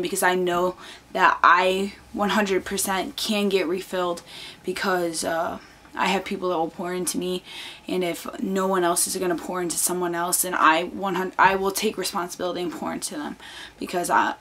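A woman's voice: lowish voice, steady tone, normal speed, fairly bright tone, a little hiss or hum. A teenage girl losing her voice, chatting earnestly to camera from her bedroom with lots of fillers. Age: teens. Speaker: vlogger. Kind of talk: casual chat to camera. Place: bedroom, indoors. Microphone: camera on desk, close framing.

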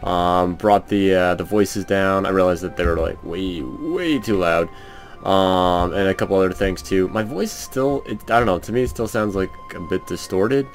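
Brief: raspy, very low-voiced man, unhurried let's-play commentary full of hesitations, soft game music behind. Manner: hesitant, thinking aloud with many ums. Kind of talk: casual gameplay commentary. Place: home room.

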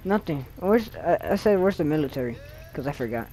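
Panicky excitable young guy gaming. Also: a little high, a little hiss or hum, low-quality recording. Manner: excitable, panicky, repeats words rapidly. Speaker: gameplay commentator. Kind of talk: excited gameplay commentary.

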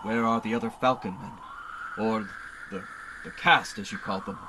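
A man's voice: fairly low pitch, fairly even intonation, moderate pace, background noise, natural voice. A man playing a plucky, wisecracking human adventure hero in an old-time radio serial. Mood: wry, casual.

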